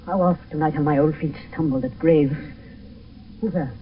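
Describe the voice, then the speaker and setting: A man's voice: fairly high pitch, moderate pace, distant mic, slight background noise, lightly acted. A man performing an old holy friar, anxious and full of alarm, in classical radio drama. He exclaims in agitation. The vintage recording is echoey and muffled. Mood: anxious urgency. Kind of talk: 1970s radio Shakespeare acting.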